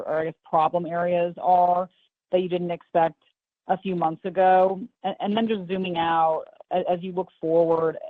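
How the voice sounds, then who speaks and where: A man's voice: sits high, dry recording. Man on a thin, dry phone line. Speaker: financial analyst caller. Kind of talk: conversational question on an earnings call.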